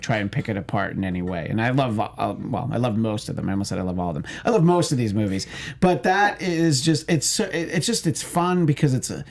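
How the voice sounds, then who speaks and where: A man with a creaky, gravelly edge to his voice, chatting casually as a panelist on a movie talk show. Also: medium pitch.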